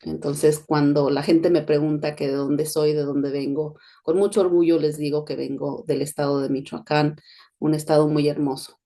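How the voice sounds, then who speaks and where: A middle-aged Mexican-born woman speaks in a neutral Spanish accent, her voice low and warm. She talks in a steady, flat-toned, reflective way, like a host sharing her story on a podcast. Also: moderate pace. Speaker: podcast host, education consultant. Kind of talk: personal monologue podcast.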